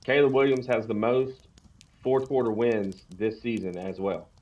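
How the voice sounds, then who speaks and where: A man's voice: medium pitch, measured pace, darkish tone. Slightly muffled man talking sports casually. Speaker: podcast co-host.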